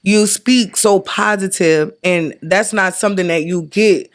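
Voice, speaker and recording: A woman in her thirties, acting as guest host of a casual podcast chat, talking in an animated, slangy and emphatic way. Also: medium pitch, measured pace. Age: 30s. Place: indoor podcast set, drinking.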